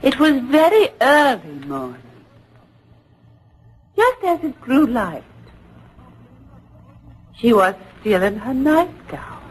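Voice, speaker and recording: A haunted matron on the witness stand in an old radio play. She speaks slowly and fearfully, her pitch moving widely, with faint noise on the recording. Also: a little mellow, heavily acted, poor audio. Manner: slow, dramatic, halting testimony. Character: fearful, haunted matron testifying against a witch. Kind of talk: old radio drama acting.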